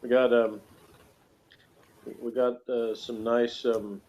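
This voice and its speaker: A man in a casual, unscripted review chat, talking in a halting, jokey way as a co-host. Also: mid-range voice, little pitch movement, darkish tone. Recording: muffled lo-fi audio, noticeable noise.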